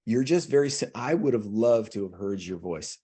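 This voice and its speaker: An enthusiastic male podcast host with a slightly husky voice. He talks in a loose, conversational way during the interview. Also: lowish voice, lively intonation, normal speed, dark tone. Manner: enthusiastic, complimentary.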